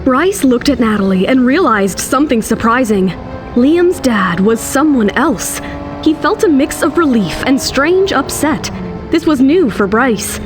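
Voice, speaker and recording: A woman's voice: high voice, animated, measured pace. A woman narrating a romantic audio drama as a storyteller, in a scripted dramatic style. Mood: suspenseful. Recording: music under the voice. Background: background music.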